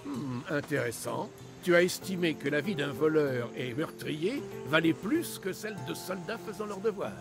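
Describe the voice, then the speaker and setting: A man's voice: fairly high pitch, expressive, normal speed, creaky, hardly any pauses, music in the background. An actor playing a genial, probing male philosopher in a French game dub, musing and teasing.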